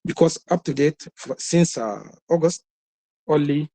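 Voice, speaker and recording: A man with a Sudanese accent, a health worker speaking on a webinar, giving a halting, repetitive report that leads into questions. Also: on the high side, mellow. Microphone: webinar call.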